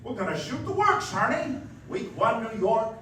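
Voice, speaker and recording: An actor playing an earnest, excitable small-town young man in a 1940s style radio play, stammering and emphatic. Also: extremely high pitch, normal speed, exaggerated voice. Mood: exuberant. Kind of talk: live radio play acting, 1940s American. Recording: echoey dance hall from far off, faint noise.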